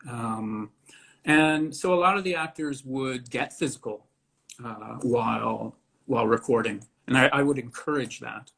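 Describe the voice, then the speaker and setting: Creaky-voiced man, speaking haltingly. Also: mid-range voice, a bit bright. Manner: halting, deliberate, choosing words in short phrases. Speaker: interview guest, theatre director. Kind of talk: casual interview talk.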